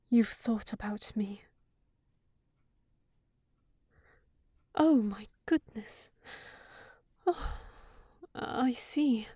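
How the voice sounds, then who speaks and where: A woman's voice: a little high, warm tone, husky, no room sound, clean studio sound, exaggerated voice. A woman playing a sensible young housekeeper secretly in love with a wizard, in an intimate, earnest audio roleplay. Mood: shy, amazed.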